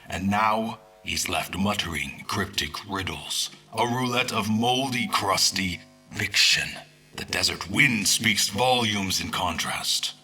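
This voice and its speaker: A man playing a brooding, grandiose schemer in a fantasy audio drama, with solemn, ornate, deliberate delivery and a husky, breathy voice. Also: fairly low pitch, sharp tone, full character voice. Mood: scornful, resolute.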